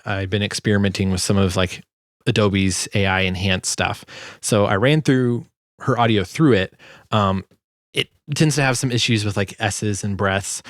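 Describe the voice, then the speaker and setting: Low-voiced man, casual tutorial. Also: no echo, studio quality. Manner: informal, hesitant, thinking aloud. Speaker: sound designer presenting a tutorial. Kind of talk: casual screen tutorial narration.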